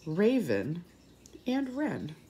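A woman reading a picture book aloud expressively for young children, with pauses, asides and questions to her listeners. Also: lowish voice, huge pitch swings, mellow, a little hiss or hum. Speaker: children's story reader and teacher.

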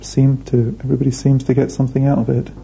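A man, speaking thoughtfully in a documentary interview with measured pauses. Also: medium pitch, monotone, gravelly, mellow, slight background noise, rough recording. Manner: measured, thoughtful, hesitant fillers. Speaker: restaurant founder. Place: indoor room.

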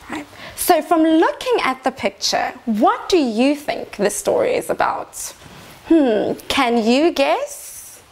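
A young woman reads a children's story as a teacher would for her youngest class. Her voice is high and swings widely in pitch. She takes her time, shaping the words expressively and inviting the children in. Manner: expressive, prompting children with questions. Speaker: infant teacher reading a storybook. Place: small decorated indoor reading corner.

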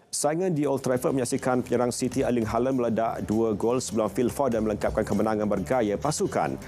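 A man's voice: mid-range voice, a little fast, dark tone. A man with a Malaysian accent reading scripted sports news as a presenter. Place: television studio. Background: music.

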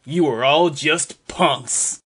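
Man, slightly high voice, bright and forceful, mock-serious dramatic reading, emphatic. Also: slow pace, breathy edge. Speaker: performer reading social media posts aloud.

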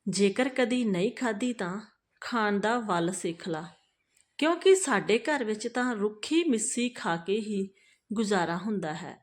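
A woman's voice: medium pitch, expressive, unhurried, lightly acted. A woman voicing a stern old mother-in-law. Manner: scolding, curt.

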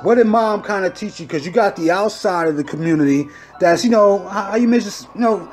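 Relaxed man repeating "okay, okay". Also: high voice, fairly quick, soft background music. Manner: loose, repeats "okay, okay" and "I got you". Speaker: interview host. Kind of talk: casual interview.

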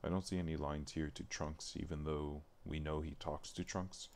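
A man thinking aloud in a halting mutter as he works at his computer on a livestream. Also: rumbling low, little pitch movement, darkish tone. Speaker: streamer. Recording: noticeable background noise, keyboard clicks. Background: typing and mouse clicking.

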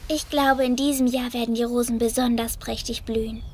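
An actress playing a gentle, shy, dreamy young girl in a fairy tale audio drama, speaking sweetly. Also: on the high side, steady tone, a little fast, slight background noise, close to an everyday voice.

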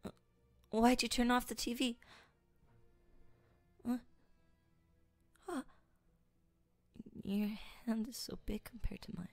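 A woman's voice: on the high side, flat delivery, slow, long pauses, faint music behind. A woman playing a timid, whispery young woman. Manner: soft, hesitant, stammering, often whispering. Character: timid young woman, easily scared, clingy. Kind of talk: audio roleplay addressed to the listener.

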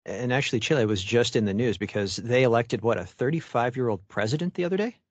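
A man hosting a media podcast, reading a scripted introduction and asking interview questions in a measured, clear voice. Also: medium pitch, no echo, muffled recording. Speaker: podcast host.

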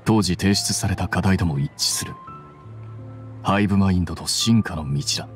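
Guy voicing a cool analytical young scholar in an anime game, deep voice, composed and detached. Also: moderate pace, soft background music, lightly acted.

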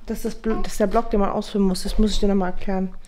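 A woman's voice: medium pitch, flat delivery, very fast, warm tone, a little hiss or hum. A woman in her thirties, a mother, chatting casually to the camera for her family vlog, with lots of fillers and asides to viewers. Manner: chatty, addresses viewers directly, many fillers.

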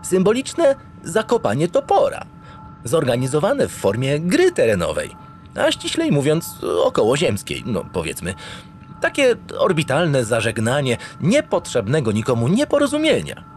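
An actor playing a pompous, naive spaceship captain, narrating a comic sci-fi radio play in a theatrical, grandiloquent, digressive way. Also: male voice, fairly high pitch, huge pitch swings, normal speed, darkish tone, faint music behind. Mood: earnest, wondering. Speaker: lead actor in an audio drama.

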